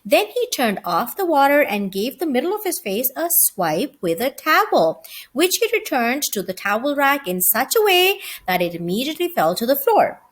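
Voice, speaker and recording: A woman reading a children's story aloud as an expressive narrator. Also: high voice, moderate pace.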